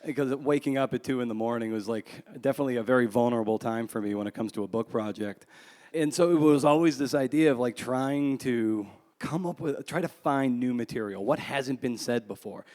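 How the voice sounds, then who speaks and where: A man speaks as a guest author at a live talk, his voice carrying through a hall with long reverb. His pitch is average, and he talks in a rambling, self-deprecating, conversational way. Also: brisk, darkish tone. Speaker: author being interviewed. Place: large room at a live book event.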